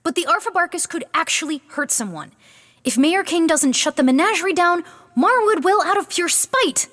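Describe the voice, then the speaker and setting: An earnest young beastmaster in a fantasy audio drama. Her voice is very high and swings widely, anxious and flustered, often urgent. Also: animated, moderate pace, a bit bright. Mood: urgent, exasperated. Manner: flustered, breathless, stammering urgency.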